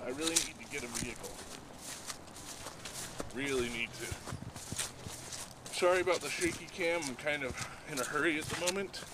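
A man in his thirties with a raspy voice, talking casually to a handheld camera while walking outdoors, rambling and self-deprecating. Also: fairly high pitch, moderate pace, pauses often, background noise. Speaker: vlogger. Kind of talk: casual daily vlog. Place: outdoors by a road and parking lots. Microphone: handheld camera at arm's length.

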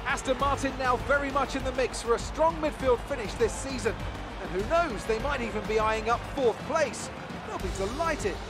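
A man's voice: very high-pitched, moderate pace. A man playing an upbeat motor racing television commentator in a video game. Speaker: sports commentator. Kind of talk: race commentary. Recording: loud music behind.

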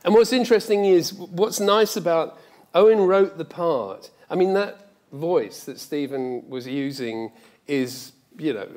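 A man's voice: fairly high pitch, unhurried, a little mellow. A middle-aged man speaking reflectively and emphatically as a guest in an on-stage conversation. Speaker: guest, audio drama director. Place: large lecture theatre stage.

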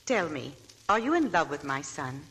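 Brief: gracious hesitant matriarch, deep and animated, 1940s radio play. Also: female voice, normal speed, full character voice, low-quality recording. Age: older. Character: anxious genteel old mother of an old family. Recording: old radio broadcast with faint noise.

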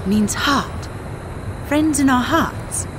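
A woman voicing a curious, kindly young lady explorer in a game cutscene, expressive and full of wonder. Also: sits high, very slow, noisy background, full character voice. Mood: wondering, tender. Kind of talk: video game cutscene acting.

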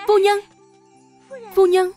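Tiny anxious servant girl, cartoon, super high n pleading. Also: slow pace, faint music behind. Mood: alarmed, pleading. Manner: pleading, excitable. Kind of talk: animated historical cartoon dubbing.

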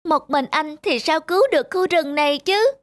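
A woman's voice: high-pitched, moderate pace, dry recording, natural voice. A woman voicing a kindly, wise male messenger bird in a cartoon. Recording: music behind the voice.

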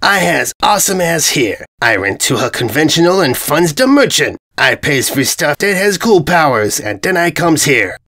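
A man's voice: on the high side, very animated, moderate pace, hardly any pauses, pushed and bright, pitched above the performer's own voice, full character voice. Man doing a boastful dim-witted invader, garbled speech. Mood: petulant, angry. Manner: garbled broken grammar, self-important. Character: boastful, dim, petulant young male invader in spiked armour.

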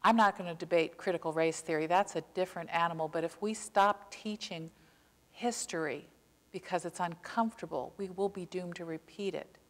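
Older woman preaching in a reverberant sanctuary. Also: lowish voice. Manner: earnest, impassioned preaching with slow emphatic stresses. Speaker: pastor. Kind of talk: sermon. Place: church sanctuary, behind a pulpit. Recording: long church reverb.

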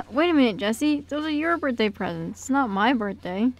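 A woman's voice: fairly high pitch, animated, moderate pace, muffled recording. A woman voicing a puzzled, easygoing young boy in an amateur radio skit, casual and questioning.

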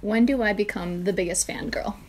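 A woman's voice: medium pitch, a little fast, background noise. A young woman in a small bedroom, reading quiz questions to her fiancé in a giggly, teasing way, picked up by a camera on a tripod. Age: young adult. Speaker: vlog co-host asking questions. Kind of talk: casual couple chat.

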